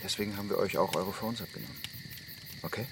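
Low-voiced, firm young commune leader. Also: male voice, creaky, background noise. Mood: firm, welcoming. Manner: deliberate, firm, commanding. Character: self-assured young male leader of secretive commune. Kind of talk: German radio drama, naturalistic acting.

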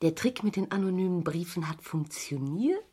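A young woman schemer, with a breathy voice that swings up and down. She is cool, coy and knowing, in the style of an old radio crime play. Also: fairly low pitch, expressive, normal speed, dark tone. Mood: sly, conspiratorial. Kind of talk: vintage German radio crime drama acting.

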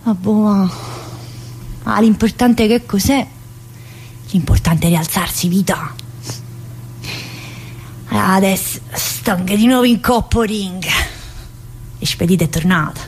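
A woman's voice: medium pitch, darkish tone, slight background noise, close to an everyday voice, poor audio. An actress playing a talkative, troubled woman locked in a psychiatric prison, in a radio theatre monologue, with a Neapolitan accent and a colloquial, confiding, rambling manner. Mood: chatty, resigned. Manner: colloquial, confiding, rambling, with abrupt pauses and interjections.

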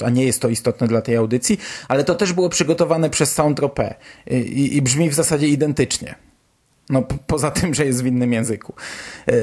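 A Polish man presents podcast news in a medium-pitched voice. He talks casually and colloquially, like someone chatting to regular listeners, with the odd mild swear word. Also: darkish tone. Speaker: podcast host.

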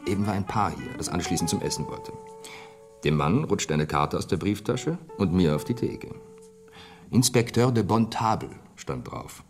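An actor playing a chatty, gossipy hotel bartender in a radio play, with a confiding, expressive way of talking. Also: male voice, low voice, moderate pace, close to an everyday voice. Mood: excited, confiding. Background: soft plucked guitar music.

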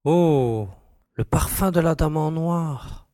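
A man's voice: a little high, slow pace, dark tone, no echo, studio quality. A man playing an inquisitive, commanding young investigator in an audiobook. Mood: moved, wondering. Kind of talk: audiobook character dialogue.

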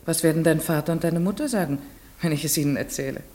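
An actress playing a village schoolteacher in a German radio play, strict but patient, questioning and shifting between command and soft coaxing. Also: female voice, low voice, quick pace, lightly acted. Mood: gentle, probing.

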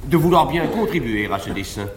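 An actor playing a cold, arrogant nobleman libertine in a measured, declamatory classical French stage style. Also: medium pitch, expressive, lightly acted. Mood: feigned piety, solemn. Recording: reverberant 1950s live theatre recording, faint noise.

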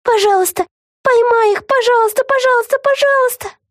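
A woman voicing a high-strung young witch girl in a children's story, shrill, dramatic and exclaiming. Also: big pitch swings, normal speed, dry recording, clean studio sound. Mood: pleading.

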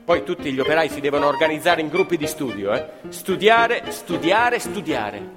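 A man's voice: on the high side, lively intonation, moderate pace. A man narrating scripted theatre as an actor, dramatic and emphatic in his storytelling. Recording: reverberant hall with live music. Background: live music with violin.

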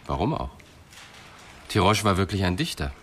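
Ein Schauspieler spielt im Hörspiel einen gefassten Polizeiermittler, der bedächtig und forschend fragt. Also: male voice, deep voice, big pitch swings, fairly bright tone, a little hiss or hum. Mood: calm, probing. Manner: measured, probing questions. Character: composed, probing male police detective. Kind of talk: radio drama dialogue.

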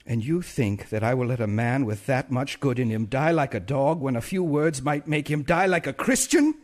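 A patient, fatherly pastor, played by a man for a stage play. His voice sits in a middle pitch but swings animatedly up and down, soothing and kindly, with a firm edge when pressed. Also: animated, normal speed, natural voice. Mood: stern. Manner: soothing and fatherly, turns stern. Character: gentle, patient middle-aged male pastor. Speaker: pastor and husband.

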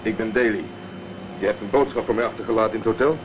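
A man's voice: on the low side, fast talker, close to an everyday voice. A man playing a polite, probing private detective in a Dutch radio drama. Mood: businesslike, polite. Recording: dull, noisy old radio recording.

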